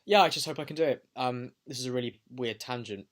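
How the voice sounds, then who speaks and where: A man's voice: mid-range voice, fast talker. A young adult man talking reflectively in a personal video diary, pensive and hesitant as he thinks aloud. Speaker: vlogger and amateur filmmaker.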